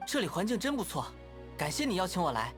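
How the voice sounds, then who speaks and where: An actor voicing a friendly, playful young scout in a game's dubbed voice lines. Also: male voice, high voice, big pitch swings, fast, fairly bright tone, soft background music. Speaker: game character. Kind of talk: game voice lines.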